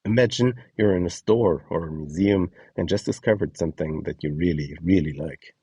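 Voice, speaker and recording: A man's voice: low-pitched, moderate pace, warm tone, breathy edge, no echo. A man narrating a guide, reading out scripted prompts and short imagined situations.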